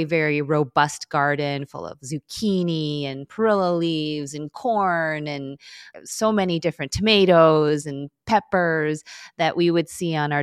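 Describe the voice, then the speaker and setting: Lowish-voiced woman from chicago on a podcast, laughs a lot, dry close mic. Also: smooth, dry recording. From Chicago, United States. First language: Korean. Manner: laughs often while telling stories. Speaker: podcast guest. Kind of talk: relaxed interview storytelling.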